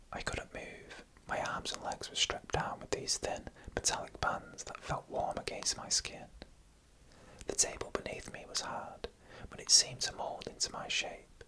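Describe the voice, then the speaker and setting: Whispering ASMR storyteller, breathy and crisp. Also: sharp tone, poor audio. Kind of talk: whispered ASMR narration of scary stories.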